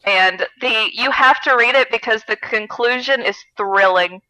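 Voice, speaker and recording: A woman co-hosting a podcast in casual comedic chat, joking in an animated, profane way. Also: on the high side, a little slow, low-quality recording. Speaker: podcast co-host. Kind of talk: casual comedic chat and fan fiction reading.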